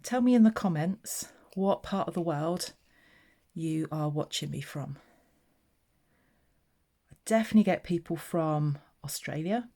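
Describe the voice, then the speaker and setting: Low-voiced chatty crafter. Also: female voice, expressive, measured pace, dark tone. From southeast England. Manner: chatty, narrating her own hands-on work. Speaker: papercraft demonstrator. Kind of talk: casual craft tutorial chat.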